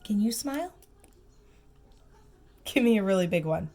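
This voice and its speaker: A woman reading a storybook aloud to young children, expressive and emphatic, with simple asides and questions for the listeners. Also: medium pitch. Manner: expressive, slow emphatic phrasing, simple child-directed comments. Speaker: preschool teacher reading aloud.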